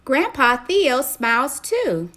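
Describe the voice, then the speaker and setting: A woman narrating a children's story in an expressive, deliberate way. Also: high voice.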